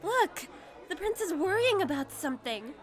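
A woman voicing a starstruck, excitable teenage schoolgirl admirer in a manga dub, full of fangirl enthusiasm. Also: very high voice, moderate pace. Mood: curious. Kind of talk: manga fandub acting. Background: music.

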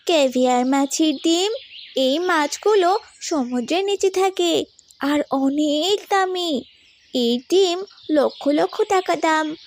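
A very high-pitched little girl, speaking slowly and earnestly. She sounds gentle, pious and wise beyond her years, as in a moral cartoon. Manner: slow, earnest, preachy.

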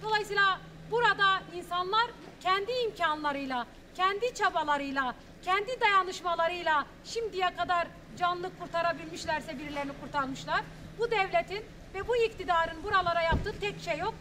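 A woman in her thirties giving an earnest press statement outdoors in front of rubble, with halting restarts. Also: very high-pitched, bright, forceful tone, slight background noise.

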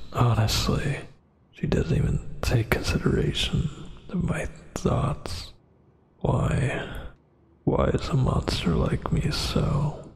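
A brooding male vampire boyfriend acted by a man in an ASMR roleplay. His voice is heavily fried and very husky, close to a whisper. He speaks slowly and haltingly, with irritated menace. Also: mid-range voice, exaggerated voice. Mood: wounded muttering. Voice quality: breathy, husky near-whisper. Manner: halting, tense, scolding.

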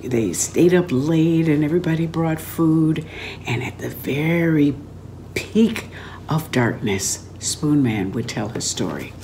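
An older woman reading a story aloud in an expressive, dramatic and deliberate storytelling manner. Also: deep voice, a little hiss or hum. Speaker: author reading aloud from own book. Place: room at home, indoors.

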